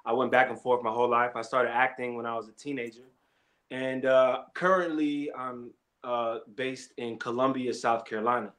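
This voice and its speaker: A young adult man from Kenya, an actor guest, telling his story in a relaxed, slangy way. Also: medium pitch, moderate pace. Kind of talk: casual interview chat.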